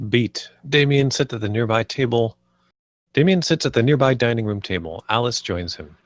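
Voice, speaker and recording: Male narrator for screenplay action lines, medium pitch, closely recorded, table-read style. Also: normal speed, no room sound. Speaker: stage-direction narrator.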